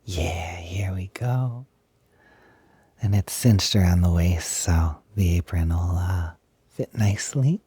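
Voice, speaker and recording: A man playing a gentle, enthusiastic young roommate in an intimate audio roleplay, talking softly, hesitantly and reassuringly with a breathy voice. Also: low voice, slow, dry recording, exaggerated voice. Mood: helpful, thoughtful. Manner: soft, hesitant, giggly, reassuring. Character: gentle, enthusiastic young male roommate who loves frilly clothes.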